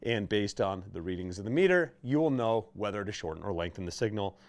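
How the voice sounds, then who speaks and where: A man's voice: on the low side, animated, brisk. A man with an American accent giving an informal, conversational product demonstration as a shop's expert presenter. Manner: conversational, explanatory, checking in with "right?".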